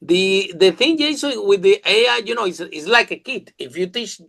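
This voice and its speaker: A man with a Spanish accent, Spanish as his first language, hosting a podcast interview in an enthusiastic, halting way with many fillers. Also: high-pitched, lively intonation, gravelly, a bit bright. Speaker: podcast host. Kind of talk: casual interview chat.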